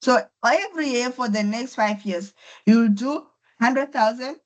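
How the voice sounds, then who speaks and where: A woman's voice: fairly high pitch, a little slow. A woman with a voice affected by flu, patiently teaching an online accounting class step by step. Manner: patient, step-by-step explaining with repetition. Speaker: accounting tutor. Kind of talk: online lecture. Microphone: computer mic on a video call.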